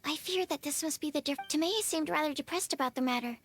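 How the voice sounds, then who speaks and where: A woman's voice: high-pitched, fast talker, soft background music. An actress playing an inquisitive young female alchemist in a video game, earnest, thoughtful and polite. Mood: reflective. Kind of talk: video game cutscene acting.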